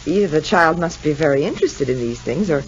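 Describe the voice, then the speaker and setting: A formal female doctor's assistant in a 1950s radio drama. Her voice is very low and her manner prim and politely puzzled. The sound is dull, with faint noise. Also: animated, fast talker. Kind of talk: 1950s radio soap acting. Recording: dull, noisy old radio recording.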